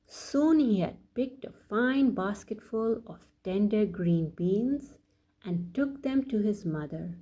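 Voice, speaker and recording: A woman reading a bedtime story aloud for children as a storyteller, addressing them directly in a deliberate way. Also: medium pitch, animated, warm tone. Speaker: children's storyteller and channel host.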